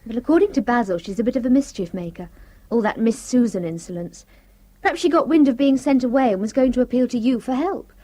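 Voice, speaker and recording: A brisk cheerful wife, high lively voice, radio play. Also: female voice. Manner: brisk, bright.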